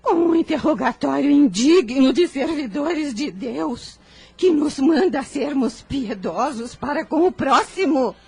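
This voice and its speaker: A high-pitched, imploring, anguished mother. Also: expressive, unhurried, creaky, darkish tone, exaggerated voice. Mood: tearful reproach. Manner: imploring, tearful, dramatic. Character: anguished protective mother pleading for her son. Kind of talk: radio drama acting.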